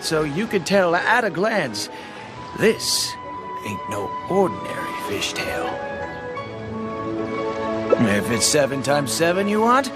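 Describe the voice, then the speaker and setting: An actor playing a sly, easygoing fish seller in a video game, with a folksy, laid-back way of talking. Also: male voice, on the high side. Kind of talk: video game cutscene dialogue. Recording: game audio with background music.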